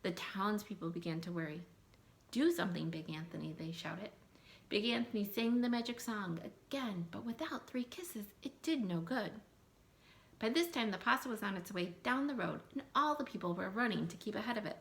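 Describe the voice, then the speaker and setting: A woman reading a picture book aloud to children in an animated, expressive way, adding asides and questions in mock surprise. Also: mid-range voice, normal speed. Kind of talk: animated read-aloud storytelling with asides to young listeners.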